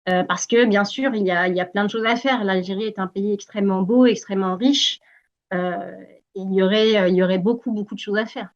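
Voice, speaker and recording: Guest, woman, 40s, from Algeria: earnest, halting interview answers, mid pitch, a bit lo-fi. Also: fairly even intonation. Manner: earnest, many hesitations and restarts. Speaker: Greenpeace campaigner, guest.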